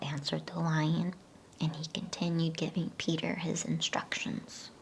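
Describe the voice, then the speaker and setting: A woman whispers in a low, slightly creaky voice, gentle and soothing. She takes her time over every phrase in an ASMR bedtime-story style, breathy and unvoiced, with faint noise behind her. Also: poor audio. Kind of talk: whispered ASMR reading aloud of a children's novel.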